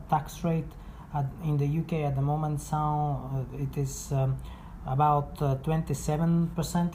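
A woman from Bulgaria presenting an informal explainer, speaking haltingly and often correcting herself. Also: low voice, dark tone, slight background noise. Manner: hesitant, halting phrasing with frequent self-corrections. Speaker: blogger and presenter.

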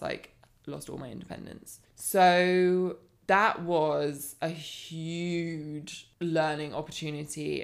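Low gravelly woman. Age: 30s. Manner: earnest, reflective, animated when building a point. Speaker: podcast guest, life coach. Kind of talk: candid personal storytelling.

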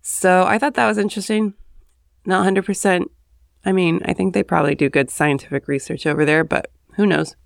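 A woman hosting her podcast, chatting in a rambling way with asides, hoarse from having just woken up at home. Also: lowish voice, steady tone, fairly quick, dry recording, clean studio sound. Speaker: podcast host. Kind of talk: casual solo monologue. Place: home, early morning.

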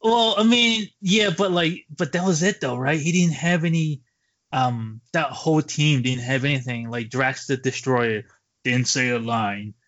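A man co-hosting a podcast, talking casually about movies in a halting, loosely phrased way. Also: medium pitch, fairly bright tone. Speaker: podcast co-host. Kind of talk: casual movie review chat. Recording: dull lo-fi podcast audio.

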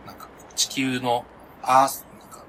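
A man's voice: medium pitch, monotone, measured pace, fairly bright tone, a little hiss or hum. A man co-hosting a podcast, chatting casually with friends in a chatty, explanatory manner. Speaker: podcast co-host. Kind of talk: casual chat among friends.

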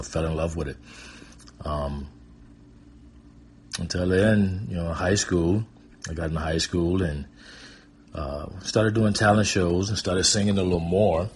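An American man in his fifties with a very low, husky voice. He tells personal stories casually and loosely, rambling a little and pausing to find his words as he goes. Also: a little mellow. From Pontiac, Michigan, United States. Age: 50s. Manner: informal, chuckling, hesitant and rambling. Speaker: guest storyteller.

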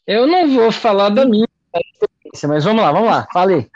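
A man's voice: high voice, very animated, fairly quick, no room sound, muffled recording. Hesitant joking male podcast host. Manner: hesitant, repeats words, joking. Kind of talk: casual interview.

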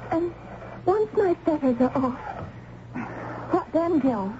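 A woman's voice: high-pitched, expressive, slow pace. An actress playing a devoted, frightened young colonial woman in an old-time radio drama, speaking in a breathless, fervent, romantic way. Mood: grateful, tender. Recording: noisy, muffled old radio broadcast.

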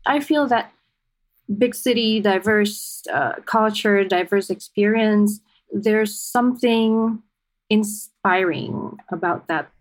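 A woman's voice: mid-range voice, slow pace. A woman from Indonesia with an Indonesian accent, talking casually and reflectively as an interview guest. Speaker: interview guest, writer and academic.

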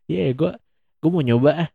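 A man's voice: a little high, vocal fry, husky, no echo, dull audio. A young man hosting a solo podcast, chatting casually in a rambling, self-mocking way and laughing often. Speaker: podcast host.

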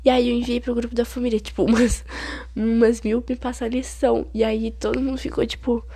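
A woman's voice: on the high side, little pitch movement, brisk, dark tone, breathy edge, no echo. A young woman in her late teens with a Brazilian Portuguese accent, hosting a casual solo podcast while stuffed up with a cold, chatty and rambling with dramatic complaints. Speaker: podcast host.

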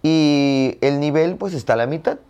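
A man's voice: on the high side, normal speed, muffled recording. A man giving an instructional demonstration of audio effects while playing a jarana, explaining each step directly to the viewers. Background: plucked jarana strumming.

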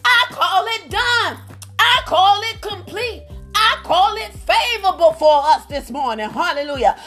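A woman's voice: extremely high pitch, lively intonation, a little slow, sharp tone, faint music behind. A woman preacher leading a phone prayer line in a fervent charismatic style, exclaiming praise and interjecting often. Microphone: phone call on a prayer line.

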